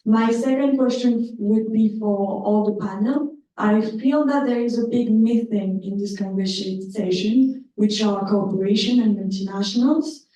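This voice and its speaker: A woman's voice: a little high, a little slow, hardly any pauses, dark tone. A young woman from Congo, a master's student, asking a panel polite, hesitant questions. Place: law school lecture room. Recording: echoey room, far from the mic.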